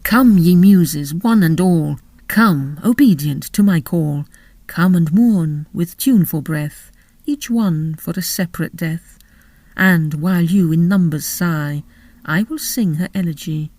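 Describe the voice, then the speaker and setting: A woman giving an expressive, theatrical reading of literary narration and verse. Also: on the low side, no echo. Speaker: narrator and poetry reader. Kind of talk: literary narration and dramatic recitation.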